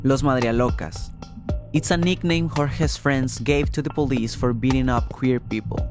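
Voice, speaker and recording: A man's voice: mid-range voice, moderate pace. A man hosting and reporting a podcast, reading scripted first-person narration. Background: music.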